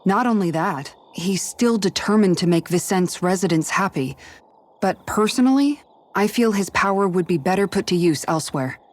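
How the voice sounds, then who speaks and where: A woman's voice: medium pitch, expressive, normal speed, lightly acted. A woman playing an earnest, practical and caring young woman who confides sincerely, in video game dialogue. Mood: earnest, wistful. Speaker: video game party member. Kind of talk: English-language video game character dialogue.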